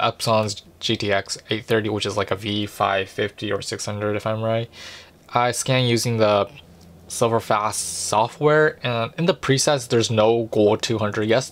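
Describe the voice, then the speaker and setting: A young man in a small room talks to camera about film photography, casually, with lots of fillers and restarts. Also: fairly low pitch. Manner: rambling, many "like" and "basically" fillers, self-corrections. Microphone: large mic above the frame.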